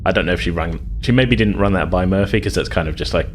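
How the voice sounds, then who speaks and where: A man as game master, narrating a tabletop roleplaying game in a halting, conversational way. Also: low-pitched, background noise.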